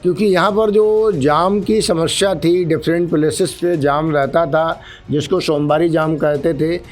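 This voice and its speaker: A man's voice: a little high, steady tone, a little slow. A man, a police officer, giving formal, earnest explanations peppered with English terms in a studio interview. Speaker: senior traffic police officer, interview guest. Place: radio studio.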